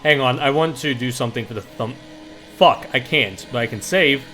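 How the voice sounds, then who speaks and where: A man's voice: medium pitch, moderate pace, sharp tone. Offhand, sweary man over game music. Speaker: video game streamer and commentator. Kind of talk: casual let's play commentary, reading game dialogue aloud.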